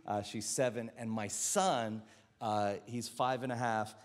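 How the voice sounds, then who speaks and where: A man with an American accent preaching a lively, joking sermon as a guest pastor, often stumbling and repeating words. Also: medium pitch, unhurried, long reverb tail. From Philippines.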